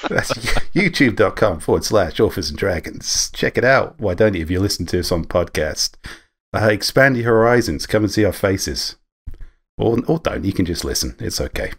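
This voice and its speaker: A man hosting a podcast, introducing guests and joking in a relaxed way. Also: sits low, moderate pace, breathy edge. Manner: jokey, relaxed emcee. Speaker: podcast host. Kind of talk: video call podcast chat.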